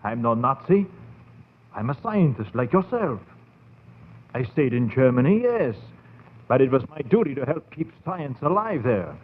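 A man's voice: mid-range voice, sing-song, measured pace, slightly rough. An actor playing a sly, proud German doctor in a 1940s radio horror drama, theatrical and insinuating in his emphasis. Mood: indignant, proud. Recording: tinny phone-like 1940s radio recording.

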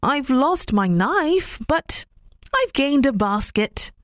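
A generous, gentle little rabbit , as a woman reads him aloud with a very high, bouncy voice that leaps up and down, in a slow storybook telling. The sound is close and dry. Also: sing-song, no echo, exaggerated voice. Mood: bargaining. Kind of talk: storybook reading.

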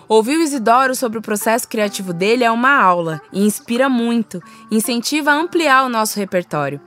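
A woman's voice: on the high side, lively intonation, fairly quick, fairly bright tone, soft background music. A Brazilian woman hosting a podcast in a conversational style, effusive and encouraging. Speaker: podcast host.

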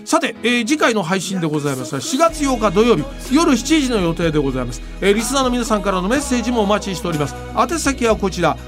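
An older man hosting a podcast, chatting casually and giving firm, emphatic opinions. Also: high-pitched. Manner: lively, emphatic, opinionated, quick talker. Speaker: podcast host. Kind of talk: casual talk show chat.

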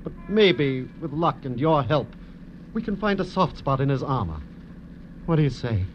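Guy as strained rich husband, mid-pitched, old radio play, dull sound. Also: very animated, normal speed, natural voice. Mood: pleading. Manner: earnest, urgent, strained. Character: anxious wealthy protective husband, male blackmail victim in wheelchair. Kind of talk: 1940s radio drama acting. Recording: muffled old radio recording, faint noise.

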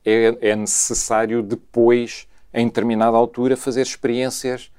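A man with a European Portuguese accent, a scientist explaining his research in a measured way with frequent repetitions. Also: medium pitch, level tone, darkish tone, clean tone. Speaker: scientist guest.

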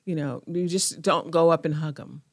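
A woman, a guest representing a foundation, speaking in quick, halting bursts with frequent restarts. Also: deep voice, steady tone, dry recording, clean studio sound. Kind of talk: casual interview conversation.

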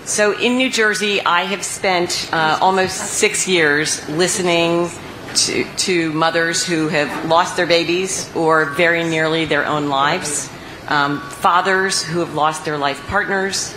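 A woman giving an earnest, measured prepared speech at a hospital event. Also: fairly low pitch, fairly even intonation. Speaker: politician's spouse giving a speech. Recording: echoey room, noisy background.

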